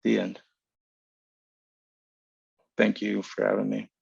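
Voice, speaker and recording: A man giving a literary reading of a poetic essay, measured and deliberate in formal phrasing, over a video call. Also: fairly low pitch, flat delivery, vocal fry, breathy edge, dry recording. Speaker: writer reading own work. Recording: dull lo-fi online call audio.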